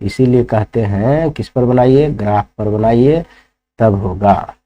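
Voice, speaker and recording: A man's voice: lowish voice, a little slow, mellow, lo-fi sound. A man teaching maths step by step, instructing and often checking understanding with rhetorical questions. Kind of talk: classroom lesson, working problems step by step.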